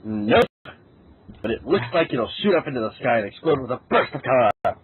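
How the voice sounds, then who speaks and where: A man's voice: mid-range voice, very animated, brisk, a little hiss or hum, close to an everyday voice. A man playing a reckless, flippant, eager man in an amateur comedic audio drama. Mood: casual, careless. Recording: tinny phone-like lo-fi sound.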